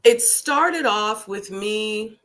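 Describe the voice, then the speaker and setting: A woman hosting a casual talk stream, her voice deepened by a cold, talking slowly with many pauses and ums. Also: lively intonation, a bit bright. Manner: slow, drawn-out, many ums. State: deep voice from a cold. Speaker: host.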